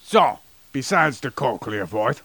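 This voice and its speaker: A man's voice: mid-range voice, lively intonation, takes it slowly, vocal fry, fairly bright tone, no room sound. A man co-hosting a casual anatomy podcast, teasing and playfully combative with his co-host. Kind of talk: casual chat about anatomy.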